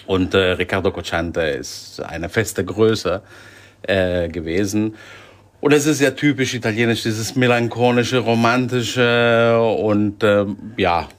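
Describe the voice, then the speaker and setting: A man's voice: lowish voice, slow. A man from Sicily as a guest, talking casually with many hesitations. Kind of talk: casual chat.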